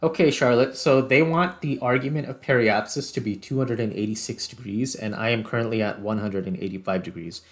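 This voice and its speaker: A man giving casual gameplay commentary, thinking aloud in short, halting phrases. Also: mid-range voice. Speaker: gaming video host.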